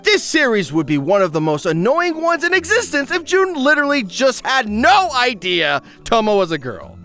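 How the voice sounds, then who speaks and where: A man's voice: very high voice, wildly swooping pitch, moderate pace, bright, forceful tone, faint music behind. A man narrating a scripted comedic anime review in a wry, exaggerated, joking way. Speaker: video essay narrator.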